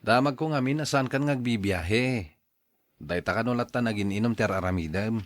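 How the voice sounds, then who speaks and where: A man's voice: fairly low pitch, normal speed, dry recording, studio quality, close to an everyday voice. A man with an Ilocano accent acting a blunt, scolding male friend in a radio drama, emphatic and exhorting. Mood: concerned questioning.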